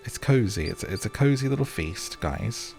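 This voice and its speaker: A man's voice: fairly low pitch, lively intonation, normal speed, vocal fry, a little mellow. A British man giving casual, chatty gameplay commentary, playfully talking to the characters as he goes. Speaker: gaming video host. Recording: music under the voice.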